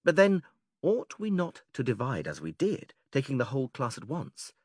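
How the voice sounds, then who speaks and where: A man playing a patient, methodical philosopher who leads an argument in a dramatised classical dialogue, measured, formal and questioning. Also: mid-range voice, huge pitch swings, darkish tone, no echo, studio quality. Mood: didactic. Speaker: audiobook actor reading philosophical dialogue.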